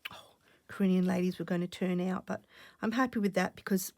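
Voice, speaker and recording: Woman host, croaky after a cough, low and gravelly, chatty crafting talk that slips into half-whispers, close dry mic. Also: steady tone, brisk, no room sound. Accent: Australian. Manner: chatty, thinking aloud, drops to soft half-whispers while stitching. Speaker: stitching channel host. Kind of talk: casual crafting vlog chat.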